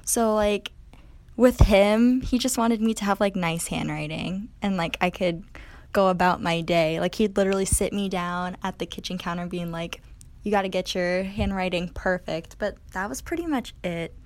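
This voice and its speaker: A woman from Connecticut on a casual podcast panel, talking in an easygoing, relaxed way with lots of "like". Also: mid-range voice, normal speed. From Connecticut, USA. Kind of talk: casual group chat.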